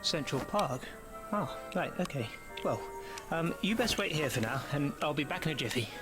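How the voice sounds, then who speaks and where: Man as young British wizard, slightly high voice, gentle, awkward, mumbling, film dialogue, loud music behind. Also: expressive, moderate pace, close to an everyday voice. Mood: distracted, polite. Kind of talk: film dialogue in a game cutscene.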